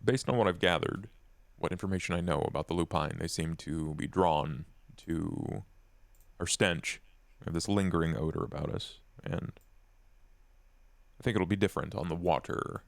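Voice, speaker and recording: A man roleplaying a cautious, formal vampire strategist in a tabletop game, choosing words deliberately. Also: sits low, vocal fry, no room sound.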